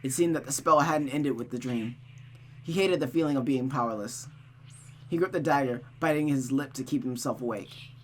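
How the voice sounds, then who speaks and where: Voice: medium pitch, normal speed. Dramatic storyteller with a noticeable background hum. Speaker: storytime streamer. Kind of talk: reading a visual novel aloud with reactions.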